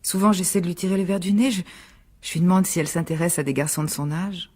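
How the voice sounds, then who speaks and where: An actress playing a defensive, exasperated middle-aged divorced mother in a French radio drama, argumentative, with a husky voice. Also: lowish voice, fast, darkish tone. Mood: weary, subdued.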